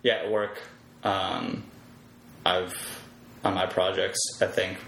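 A man speaking as a podcast guest in casual conversation, thinking aloud in a reflective, halting way. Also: deep voice, little pitch movement, creaky, a bit bright. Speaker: podcast guest, web developer and comedy performer. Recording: roomy echo, faint background noise.

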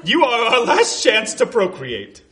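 A man's voice: very high voice, wildly swooping pitch, a little slow, pushed and bright, exaggerated voice. A man voicing a bossy, dim male dodo in an exaggerated, repetitive comedy sketch reading styled like a radio play. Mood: smug, clueless. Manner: exaggerated, repetitive delivery, breaking into laughter.

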